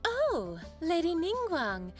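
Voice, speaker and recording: A woman's voice: extremely high pitch, huge pitch swings, slow, heavily acted. A woman playing a teasing, self-assured young shopkeeper in a video game, with a coy, theatrical manner. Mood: playful, coy. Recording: background music under voice.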